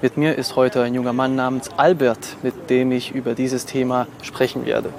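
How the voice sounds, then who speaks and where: A man's voice: mid-range voice, level tone, moderate pace, creaky, darkish tone. A man working as a television interviewer, speaking in a conversational broadcast style.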